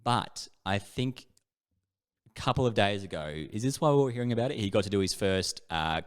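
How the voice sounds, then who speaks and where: A man's voice: lowish voice, expressive, a little fast, smooth. A man with an Australian accent chatting casually as a podcast co-host, jokey and rambling. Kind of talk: casual chat.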